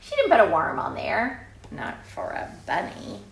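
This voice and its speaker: An older woman reading a picture book aloud to children in an informal way, with chatty, exclaiming asides and drawn-out emphasis. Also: deep voice, wildly swooping pitch, gravelly, echoey room, poor audio.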